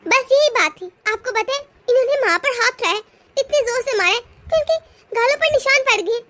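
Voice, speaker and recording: A woman's voice: very high-pitched, expressive, moderate pace, bright, forceful tone, heavily acted. A woman voicing a bold, defiant young daughter-in-law in a cartoon family drama. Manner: defiant, scolding.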